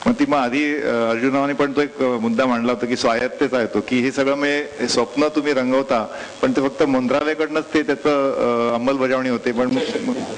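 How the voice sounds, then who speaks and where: A man of medium pitch moderates a live discussion. He feels his way through long, roundabout questions. Hiss and background noise sit behind him. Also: fairly even intonation, a little fast, hardly any pauses, low-quality recording. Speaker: panel moderator. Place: live public panel event.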